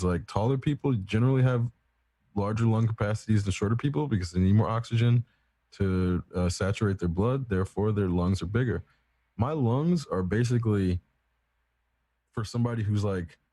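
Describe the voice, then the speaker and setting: A man's voice: on the low side, warm tone, no echo. A man in casual chat, explaining at length in a rambling, profane, self-assured way. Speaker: podcast co-host.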